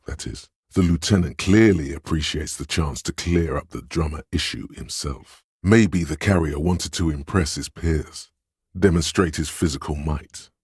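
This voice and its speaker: A sly inner voice from a video game, extremely low and thickly husky. He coaxes and insinuates in measured, breathy phrases, like a manipulator advising from inside the head. The sound is close and dry. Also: a little mellow, no echo, exaggerated voice. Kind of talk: scripted video game voice acting.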